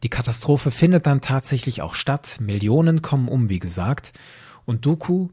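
A man hosting a podcast with scripted, explanatory narration in a husky voice. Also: mid-range voice, lively intonation, normal speed, dark tone, a little hiss or hum. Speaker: podcast host.

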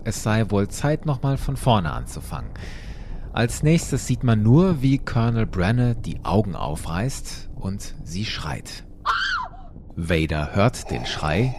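A man's voice: deep voice, background noise. A man narrating a scripted story dramatically, as a host retelling it for listeners.

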